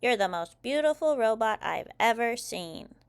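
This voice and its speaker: A dutiful robot dad from a children's storybook, acted out by a woman reader. The delivery is robotic, beeping and grandly overdramatic, with gravelly creak in the voice. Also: a little high, animated, normal speed. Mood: smitten, dramatic.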